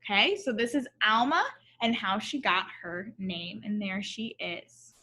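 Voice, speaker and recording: A woman's voice: mid-range voice, lively intonation, unhurried, bright, forceful tone, a little hiss or hum. A woman reading a picture book aloud to children in an instructive way, stopping to ask questions and point out the pictures. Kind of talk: storybook read-aloud with asides and questions to children.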